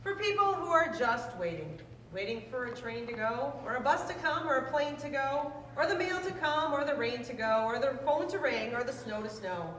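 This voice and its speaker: A woman reading a children's rhyming book aloud in a lively, sing-song way. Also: high voice, normal speed, few pauses. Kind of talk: read-aloud storytelling. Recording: roomy, distant mic, faint noise.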